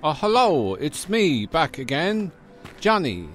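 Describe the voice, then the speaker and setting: A man playing a chirpy, eager, halting fellow with a sing-song manner in a comedy radio serial. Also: a little high, sing-song, fairly bright tone, soft background music, voice pushed up, full character voice. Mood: chirpy, flustered. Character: chirpy, eager young man picking up Italian inflection.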